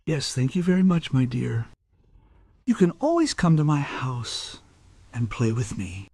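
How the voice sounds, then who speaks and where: An actor playing a confused, gentle old widower in a radio drama, breathy and halting. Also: male voice, medium pitch, big pitch swings, no room sound, full character voice. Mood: anxious, grateful. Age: older. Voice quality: husky, breathy.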